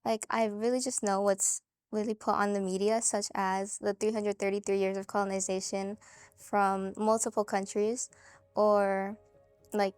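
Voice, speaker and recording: A woman's voice: medium pitch, a little mellow, clear, resonant tone, no room sound. Hesitant young woman, dry close sound. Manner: hesitant, lots of um and like. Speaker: interviewee. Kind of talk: casual documentary interview.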